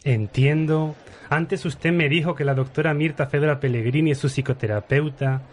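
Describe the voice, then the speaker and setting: A man's voice: a little high, fairly even intonation, moderate pace, loud music behind the voice, natural voice. A man playing an earnest, pedantic government scientist, pompous and formal, in an absurd radio soap. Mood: officious.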